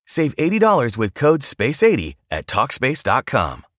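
A man's voice: medium pitch, huge pitch swings, normal speed, fairly bright tone, no echo, clean studio sound, soft background music. A man reading a scripted advertisement as an announcer. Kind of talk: scripted ad read.

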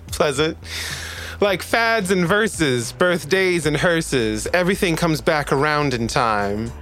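A man's voice: on the high side, expressive, slow, a bit bright, faint music behind, poor audio. A man playing a cocky, charming but clumsy young space traveler in an audio drama. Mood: cocky, playful.

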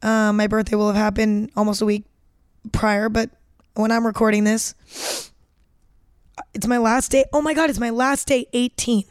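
A talkative young woman on the edge of nineteen, rambling and self-deprecating as she hosts her own podcast. Her slightly high voice is smooth and clear despite a hoarse, cracking edge. The recording is dry and close. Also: steady tone, moderate pace, long pauses, no room sound. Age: late teens. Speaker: podcast host. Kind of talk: casual solo podcast chat.

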